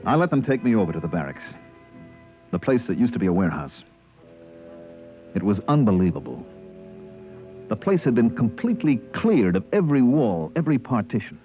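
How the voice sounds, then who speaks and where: Male outspoken space crewman, dramatic and lively, vintage radio sci-fi, dull recording with faint music. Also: fairly low pitch, a little fast, pauses often. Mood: grave narration. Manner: emphatic, questioning, dramatic. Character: incredulous, outspoken male spaceship crewman telling his story. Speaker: actor narrating and playing the lead. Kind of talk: 1950s radio drama acting.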